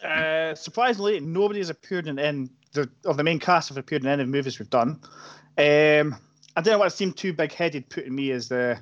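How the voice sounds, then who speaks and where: A man's voice: fairly high pitch, fairly quick, fairly bright tone. Laid-back man with a dull lo-fi sound. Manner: laid-back, hesitant, swears. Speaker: podcast co-host. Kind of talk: casual chat.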